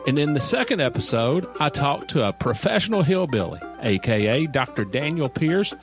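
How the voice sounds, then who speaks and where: A man's voice: medium pitch, very animated, normal speed. A man reading a scripted promo as a podcast host. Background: light music.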